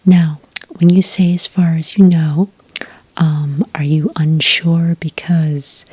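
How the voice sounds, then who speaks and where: A woman playing a calm, polite agent who takes sighting reports in a soft-spoken ASMR roleplay, breathy and whispery, with gentle, patient questioning. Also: low-pitched, takes it slowly, no room sound, heavily acted. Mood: politely inquiring.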